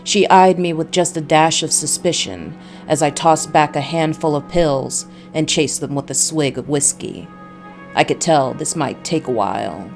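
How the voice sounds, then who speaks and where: A world-weary noir detective, read by a woman, tells his story in a low voice. The delivery is brooding and scripted, as in a visual novel game. Faint music sits underneath. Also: a little slow, gravelly. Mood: wry, wary. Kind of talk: scripted first-person noir narration.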